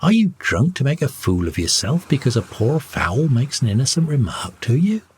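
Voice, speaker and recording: A man voices a protective, irritable sea captain from an old sailing yarn. He is brusque and plain-spoken, with very varied pitch and faint noise behind him. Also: big pitch swings, normal speed, darkish tone, close to an everyday voice. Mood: angry, scolding.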